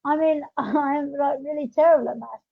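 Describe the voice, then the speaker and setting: A woman's voice: high voice, normal speed, gravelly. A woman talking in an informal, chatty way as she hosts a casual podcast. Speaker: podcast host. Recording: dull lo-fi audio.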